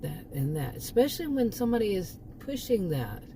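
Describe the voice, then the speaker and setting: A woman's voice: mid-range voice, very animated, brisk, mellow, a little hiss or hum. A woman from Texas with a Texan accent, talking casually to her channel and rambling through restarts and asides. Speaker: channel host. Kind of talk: casual monologue.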